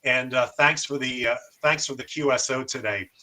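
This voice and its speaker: Bright-voiced American man, radio hobbyist. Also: mid-range voice, moderate pace. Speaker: amateur radio operator and show host. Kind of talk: casual demonstration and radio chat.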